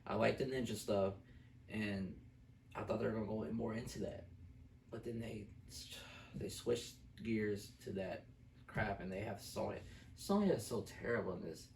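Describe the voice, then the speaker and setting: Gravelly-voiced man, roomy and distant. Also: lowish voice, fairly even intonation, normal speed, a little mellow, slight background noise. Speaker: film reviewer, co-host. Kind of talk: casual opinionated movie review chat. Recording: roomy echo, distant microphone.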